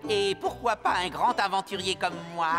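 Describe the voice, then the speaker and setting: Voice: mid-range voice, moderate pace, over music, lightly acted. An actor voicing a cocky little living toy, a boastful adventurer in a children's cartoon, with a cheeky manner.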